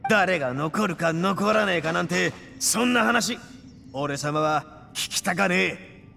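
A man voicing a brash, cocky young oni gang boss in an anime-style game, with rough, boastful delinquent speech and a gravelly voice. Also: sits high, expressive, slow pace, slight background noise, heavily acted. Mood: fired up, defiant. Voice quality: gravelly, forceful. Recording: music behind the voice.

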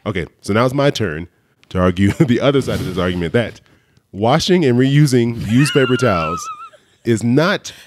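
A man's voice: medium pitch, wildly swooping pitch, moderate pace. A man hosting a podcast, chatting casually and joking with a guest, laughing often. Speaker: podcast host. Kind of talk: casual podcast chat and playful debate.

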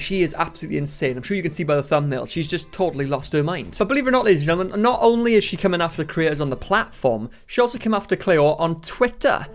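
A young man with a British accent, giving sarcastic, exasperated commentary in a vlog from his bedroom studio. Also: on the high side. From United Kingdom. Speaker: commentary YouTuber.

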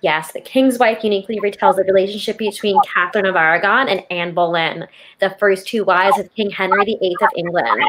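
A woman's voice: mid-range voice, brisk, a bit bright, a little hiss or hum, rough recording. A young woman co-hosting a video-call show, reading introductions with small stumbles. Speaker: co-host. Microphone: video call with earbuds.

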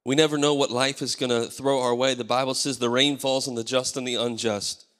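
A man in his thirties preaches from a church stage with a hoarse, tired voice, calling out for amens as he goes. His handheld mic sits in a large hall with a long reverb behind it. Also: medium pitch, little pitch movement, brisk, a bit bright. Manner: preaching with call-and-response, asking the crowd for amens. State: losing his voice. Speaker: pastor. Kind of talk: sermon.

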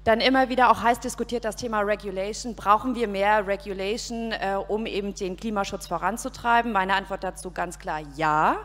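A woman's voice: mid-range voice, fairly even intonation, fairly quick, hardly any pauses, a bit bright. A woman in her forties giving a composed, structured talk on a conference panel with a handheld mic on an outdoor event stage. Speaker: panel speaker, consultancy partner. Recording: reverberant PA sound on a stage.

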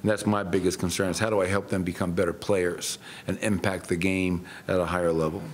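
A middle-aged man speaks as a head coach at a post-game press table, his voice very low and flat. He answers in a measured, matter-of-fact way, with many fillers. A faint hiss sits under the recording. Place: press room.

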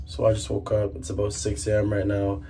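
A man's voice: lowish voice, completely flat delivery, fairly quick, dark tone, faint music behind. A man in his twenties chatting casually and slangily to camera as a vlogger, in a laid-back manner. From South Sudan or Canada. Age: 20s. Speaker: vlogger, college soccer player. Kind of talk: casual vlog chat to camera. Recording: noisy outdoor and room vlog audio.

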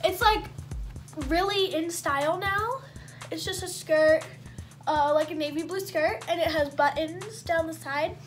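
A woman's voice: very high voice, unhurried, soft background music. A teenage girl with an American accent chatting informally to the camera in a bedroom, as in a clothing haul vlog. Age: teens. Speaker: video blogger. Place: small bedroom, indoors.

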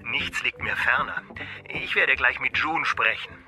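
Sharp, bright, obsequious hotel clerk. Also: male voice, mid-range voice, measured pace. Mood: eager, apologetic. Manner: polite, deferential. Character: obsequious hotel desk man, apologetic, placating. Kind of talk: German radio thriller acting.

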